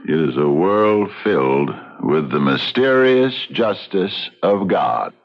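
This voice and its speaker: An actor playing a devout, cryptic frontier detective in a radio mystery drama, slow and solemn with a deep gravelly voice. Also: male voice, very animated, exaggerated voice. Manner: slow, solemn, scripture-quoting. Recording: dull lo-fi radio recording with faint music.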